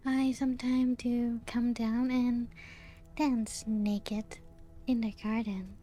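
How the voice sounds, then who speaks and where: A woman playing a genteel, serene, playful ancient vampire in a soft-spoken ASMR roleplay, with a breathy, often half-whispered voice and gentle lilt. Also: on the high side, little pitch movement. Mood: playful, teasing. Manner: slow, unhurried, gently lilting storytelling. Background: music.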